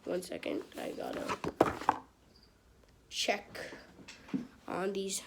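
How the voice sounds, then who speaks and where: A woman's voice: medium pitch, huge pitch swings, very slow. A woman reading a children's book aloud in a casual, stumbling way, with chatty, informal asides. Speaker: amateur reader of a children's book. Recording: clearly noisy home recording with clicks.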